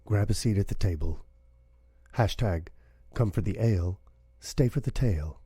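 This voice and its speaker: A man's voice: on the low side, normal speed, warm tone. A man announcing a show in a dramatic, scripted intro style.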